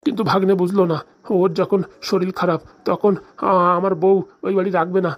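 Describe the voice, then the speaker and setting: A man's voice: sits high, little pitch movement, a little fast, lo-fi sound. A man voicing a homesick, honest middle-aged uncle in a comedy cartoon, with a plaintive, earnest manner. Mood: hurt, plaintive. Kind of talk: Bangla comedy cartoon dialogue.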